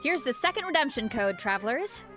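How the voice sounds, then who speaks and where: A woman co-hosting a game program with exclamatory, reactive, lively scripted banter. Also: high-pitched, a little fast, faint music behind. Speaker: co-presenter of a game preview program.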